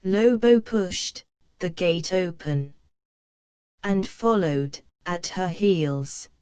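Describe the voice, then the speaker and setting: A woman reading a children's picture book aloud, slow and careful for young learners. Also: on the low side, a little mellow, a little hiss or hum. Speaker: storybook reader.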